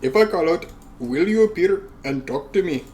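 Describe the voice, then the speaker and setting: A man's voice: a little high, expressive, normal speed, a little mellow, slight background noise. Casual man reading a game aloud. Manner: casual, swears in asides. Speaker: let's play host. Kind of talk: reading a visual novel aloud with casual commentary.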